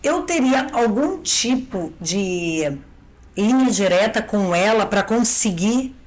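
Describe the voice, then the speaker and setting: A woman's voice: mid-range voice, animated, takes it slowly, darkish tone. Brazilian woman, podcast host, curious, asks follow-ups, conversational. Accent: Brazilian Portuguese. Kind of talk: conversational interview.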